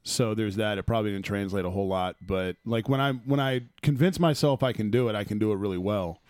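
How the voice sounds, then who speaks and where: A man hosting a sports podcast in casual chat, loud and profane, laughing often, with a phlegmy throat. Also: lowish voice, quick pace, no room sound. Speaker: podcast host.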